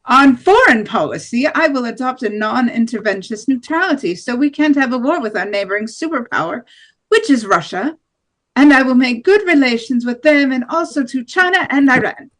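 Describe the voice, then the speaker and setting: A woman's voice: high voice, lively intonation, moderate pace. A woman playing a hardline nationalist female presidential candidate, declaiming a campaign speech from a script. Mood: strident, assertive. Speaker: actor in an amateur table read.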